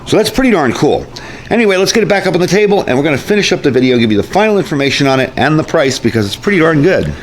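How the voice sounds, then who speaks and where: A man's voice: on the high side, sing-song, rapid-fire, low-quality recording. A man giving a casual, chatty product review with informal, folksy phrasing. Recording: clear background noise.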